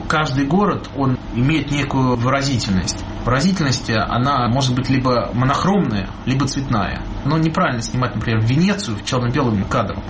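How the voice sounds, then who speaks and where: Reflective male photographer in an interview, mid-pitched, noisy background. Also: brisk, hardly any pauses. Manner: reflective, speaks in images, stresses and repeats words. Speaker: street photographer, interview guest. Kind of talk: interview answers. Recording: noticeable background noise.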